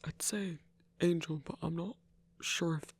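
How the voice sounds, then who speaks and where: Man acting a hesitant, husky young monster hunter. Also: fairly high pitch, steady tone, unhurried, dry recording. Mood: awkward, musing. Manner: hesitant, stammering, nervous little laughs. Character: shy, earnest young male monster hunter, smitten and awkward. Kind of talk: intimate ASMR audio roleplay.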